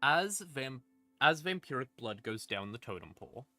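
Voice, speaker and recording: Bright-voiced, irreverent man explaining lore. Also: medium pitch, very animated, normal speed, dry recording. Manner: irreverent, sweary, wry asides. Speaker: streamer explaining game lore. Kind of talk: casual, conversational lore explainer. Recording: eerie ambient music behind voice. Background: creepy ambient music.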